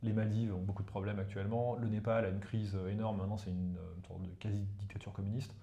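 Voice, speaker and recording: A man from the Ganges plain speaks French, his tongue being Hindi. He explains at a rapid clip in a mellow, somewhat dark voice, dropping "you see" into his casual talk. The recording has a noticeable roomy echo. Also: on the low side, level tone, gravelly. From India, Ganges plain. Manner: talks fast and explanatory, tags sentences with "you see". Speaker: guest expert, professor.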